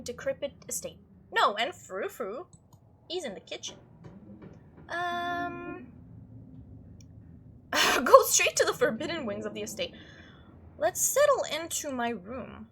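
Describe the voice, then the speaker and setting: A young woman playing a visual novel, reading it aloud expressively with playful asides. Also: high-pitched. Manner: animated, expressive read-aloud. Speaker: game streamer. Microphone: home microphone. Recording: noisy home microphone recording.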